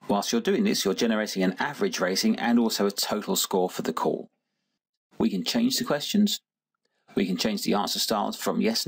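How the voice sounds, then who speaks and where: Husky, low-voiced man, instructional. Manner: methodical, instructional. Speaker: software demonstrator. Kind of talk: scripted tutorial narration.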